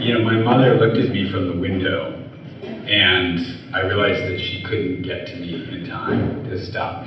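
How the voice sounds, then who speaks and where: A man from the US, an author telling personal stories onstage, talking in a rambling way with many fillers and restarts. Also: low voice. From USA. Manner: rambling, filler-heavy, self-correcting, laughs easily. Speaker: author and interview guest. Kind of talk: personal storytelling in an onstage conversation. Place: large hall at a live event. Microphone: handheld microphone, trouble with the sound. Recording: echoey hall from far off, audible noise.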